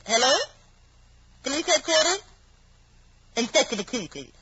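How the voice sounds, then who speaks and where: An actress playing an anxious, evasive young wife in a halting, dramatic old radio mystery style. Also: female voice, high voice, big pitch swings, a bit bright, dull audio, exaggerated voice. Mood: nervous, feigned concern.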